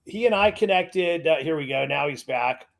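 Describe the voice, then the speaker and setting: Guy hosting a video call, kinda high voice, rambly, lots of ums and restarts. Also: expressive, gravelly. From Washington state. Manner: rambling, halting, many fillers and restarts. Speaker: host. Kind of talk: casual video call chat.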